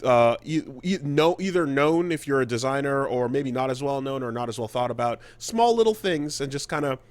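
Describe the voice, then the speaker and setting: A man co-hosting a casual podcast about video games, speaking haltingly and repeating words mid-thought. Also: medium pitch, lively intonation. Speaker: podcast co-host.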